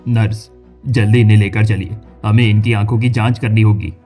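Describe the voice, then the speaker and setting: A man's voice: lowish voice, steady tone, normal speed, dark tone, soft background music. A man voicing a grave, firm hospital doctor in a cartoon story. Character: grave, matter-of-fact male government hospital doctor.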